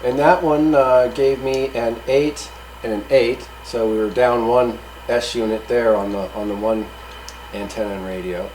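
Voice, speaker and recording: A man with a mid-pitched voice talks through test results like a hobbyist host. He is casual and unscripted, rambling a little but matter-of-fact. There is some noticeable ambient noise in the recording. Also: fairly even intonation, measured pace, a little mellow, low-quality recording. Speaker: hobbyist video host reviewing radio gear.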